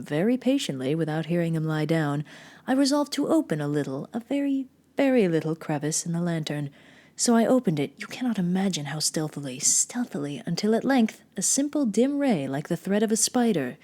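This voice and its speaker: A woman performing a dramatic gothic horror reading as a mad, obsessive murderer who insists on being sane, theatrical and deliberate, moving between hushed and swelling tones. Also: fairly low pitch, very animated. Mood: menacing, hushed.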